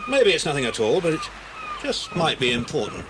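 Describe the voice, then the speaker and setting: A calm, protective family friend in a children's cassette drama. His voice is fairly high for a man and moves with lively intonation. He sounds avuncular and reassuring, over clear background noise. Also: a little fast. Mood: serious, concerned. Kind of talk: children's audio drama acting. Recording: noisy old cassette dramatisation.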